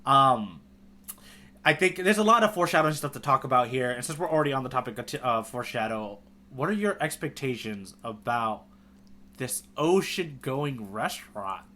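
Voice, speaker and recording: A man's voice: medium pitch, lively intonation, normal speed, bright, forceful tone, a little hiss or hum. A man co-hosting a readalong podcast, talking casually and prompting with questions. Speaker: podcast co-host guiding a manga readalong. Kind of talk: casual chat.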